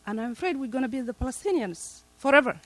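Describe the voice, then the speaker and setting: A man's voice: extremely high pitch, lively intonation, fast, fairly bright tone, no echo. Pleading man from Somalia. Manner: emotional, pleading, repetitive. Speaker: panelist. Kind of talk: impassioned appeal to an audience.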